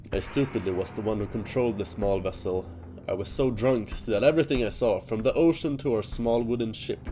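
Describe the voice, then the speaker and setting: A man playing a self-deprecating seafaring monster hunter who tells his tale in a deliberate, theatrical way in an audio drama. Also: lowish voice, dark tone, slight background noise, lightly acted. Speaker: character telling a campfire tale in an audio drama. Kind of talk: scripted dramatic storytelling. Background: music under the voice.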